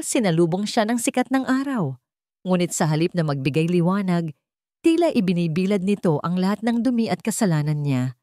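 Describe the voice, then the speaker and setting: A Filipino woman reading a scripted romance story as narrator, with dramatic, deliberate phrasing. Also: on the low side, darkish tone, dry recording, studio quality.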